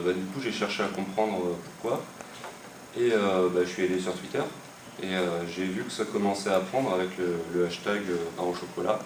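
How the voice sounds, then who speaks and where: A young man from western France explaining, hesitantly and with many fillers, how he built a website. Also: low voice. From Maine-et-Loire, France. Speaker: website creator interviewed. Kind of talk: casual spoken explanation. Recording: echoey room, mic far off, noisy.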